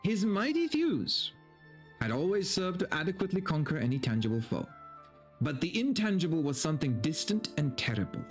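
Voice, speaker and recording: A man doing a dramatic story reading as a streamer, switching between theatrical narration and casual, amused, profane commentary. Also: mid-range voice. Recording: background music under the voice. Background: video game music.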